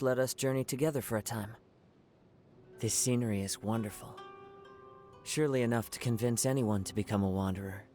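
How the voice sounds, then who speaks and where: A gentle young samurai wanderer from a video game, voiced by a man, with a mid-range voice. He speaks in a calm, poetic, measured way with a wistful serenity. Music plays behind him. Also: medium pitch, natural voice. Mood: serene, poetic. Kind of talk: video game character voice lines.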